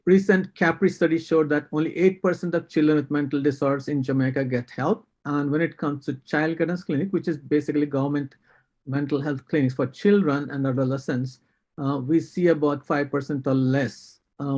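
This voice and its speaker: A man's voice: fairly high pitch, a little mellow. Earnest man with an Indian accent. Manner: earnest, critical, with many fillers. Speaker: psychiatrist panelist. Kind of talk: panel discussion.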